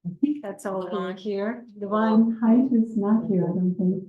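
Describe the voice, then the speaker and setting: A woman moderating a community panel, welcoming a live audience in a gentle, measured and slightly hesitant way. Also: mid-range voice, steady tone, narrow, tinny audio. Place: community meeting room with a seated circle. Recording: roomy room sound.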